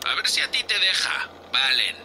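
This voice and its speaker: A man's voice: sits high, big pitch swings, moderate pace, noisy background. A man with a Spain Spanish accent playing a drunk, sulky, insolent spaceship crewman in a sci-fi audio drama, complaining petulantly and sarcastically. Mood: sulky, resentful.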